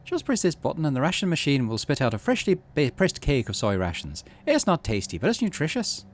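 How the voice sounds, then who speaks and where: Man putting on a higher-pitched, kindly motherly kitchen chief. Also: wildly swooping pitch, brisk. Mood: reassuring. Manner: encouraging, indulgent, proud. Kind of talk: visual novel dialogue read aloud.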